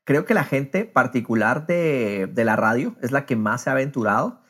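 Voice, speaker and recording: Un hombre que conduce un podcast de charla informal, contando las cosas con animación y entusiasmo. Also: male voice, medium pitch, expressive, moderate pace, fairly bright tone. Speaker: podcast host. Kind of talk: casual podcast interview.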